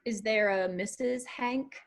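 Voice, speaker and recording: Woman acting young country-band woman, slightly high pitch, blunt, challenging, bold, screenplay table read. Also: measured pace. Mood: probing. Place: remote virtual table read.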